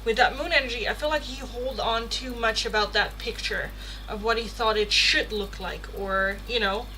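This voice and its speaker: A woman's voice: a little high, moderate pace, rough recording. A woman from the Netherlands, a native Dutch speaker, chatting casually and expressively as she hosts a live tarot stream. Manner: chatty, expressive, swears playfully. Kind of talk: casual live chat with viewers. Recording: clear background noise.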